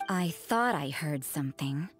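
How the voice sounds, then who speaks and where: Aloof, cynical nun: lowish voice, dry and faintly mocking, anime game acting, music behind. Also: female voice, lively intonation, unhurried. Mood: cool, suspicious. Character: cool, aloof, cynical adult nun, secretly a watchful investigator.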